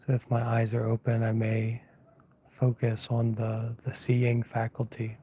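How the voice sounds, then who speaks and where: A man teaching as a monk leads an unscripted guided meditation in a hushed, soft, slightly husky voice, unhurried and pausing often as he gently gives instructions. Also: mid-range voice, completely flat delivery. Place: outdoors at night, lying down under a mosquito net.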